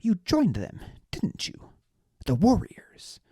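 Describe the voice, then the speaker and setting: A narrator voicing a pompous, petulant man who is easily outraged and talks in shrill, indignant bursts. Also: wildly swooping pitch, slow, warm tone, breathy edge, no room sound, studio quality, heavily acted.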